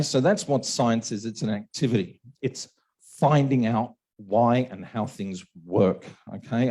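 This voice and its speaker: A man's voice: medium pitch, warm tone. A man lecturing on a university module at an open house, talking with a sore throat and a tired voice, checking in often with "okay" and "right". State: sore throat, losing his voice.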